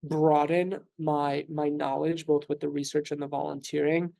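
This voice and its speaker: Gravelly, mellow-voiced man in his thirties. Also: fairly high pitch, flat delivery. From New York City. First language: Russian. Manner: thoughtful, measured, frequent fillers and restarts. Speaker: physician guest. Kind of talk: conversational interview answers.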